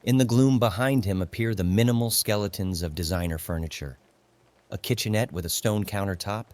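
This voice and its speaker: A man narrating a literary audiobook in a grave, measured, dramatic manner. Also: fairly low pitch, a little mellow. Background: faint music.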